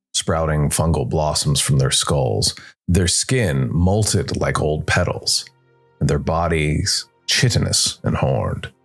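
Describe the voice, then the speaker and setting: A man's voice: extremely low pitch, gravelly. A man narrating a scripted fantasy tale dramatically as a storyteller. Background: music.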